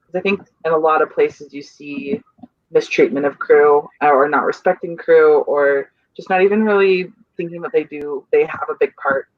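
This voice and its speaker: A young adult stage manager speaking over a dull, lo-fi video call line in a dry, close sound. The voice sits at a middle pitch and the talk is earnest and meandering, full of restarts as the thoughts come. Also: normal speed, no echo. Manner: rambling, searching for words, earnest. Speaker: stage manager on a theater crew. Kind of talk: casual panel chat on a video call.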